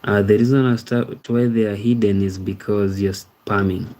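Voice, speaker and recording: A man's voice: on the low side, fairly even intonation, moderate pace, mellow. A man from Kenya with a Kenyan accent, hosting a casual live stream, reading viewers' comments aloud and thanking them by name. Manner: easygoing, repeats words like "amazing, amazing". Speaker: livestream host.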